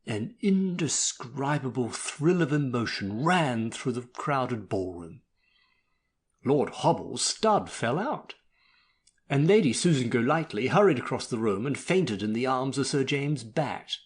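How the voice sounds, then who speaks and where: Male audiobook narrator, swooping intonation, slightly rough husky tone, theatrical storytelling with melodramatic flourish. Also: mid-range voice, very animated, a little slow. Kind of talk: expressive scripted story narration.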